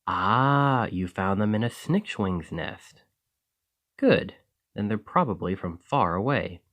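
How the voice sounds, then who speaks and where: Chatty village alchemist played by a man for fantasy ASMR roleplay: lowish voice, very lively intonation, eager and a touch flustered. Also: takes it slowly, heavily acted. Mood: excited, concerned. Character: friendly, excitable male village alchemist in a fantasy shop. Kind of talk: ASMR roleplay addressed to the listener.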